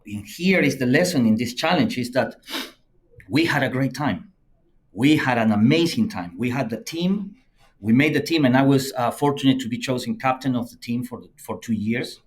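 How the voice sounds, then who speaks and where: A middle-aged man with a Peruvian Spanish accent, a native Spanish speaker, recounting his past as a podcast guest. He talks in a lively, anecdotal way at a medium pitch. His stories are full of repeated phrases for emphasis. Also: moderate pace. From Peru. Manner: lively anecdotal telling, acts out quoted friends in a higher voice. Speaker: podcast guest, company CEO. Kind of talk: conversational storytelling interview.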